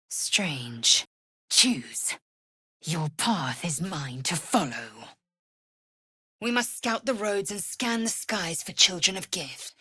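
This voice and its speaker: Casting: fierce, proud female alien warrior in a fantasy game. Raspy low register, deliberate pace, stern and imperious. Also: bright, forceful tone, no echo, clean studio sound, heavily acted. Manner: clipped, imperious, formal archaic phrasing. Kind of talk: video game character voice acting.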